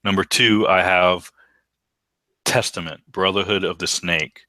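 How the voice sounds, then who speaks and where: A middle-aged man with a baritone voice, co-hosting a casual podcast chat and speaking with enthusiasm. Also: steady tone, measured pace, bright, forceful tone, dry recording. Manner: enthusiastic, repeats words when restarting. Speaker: podcast co-host.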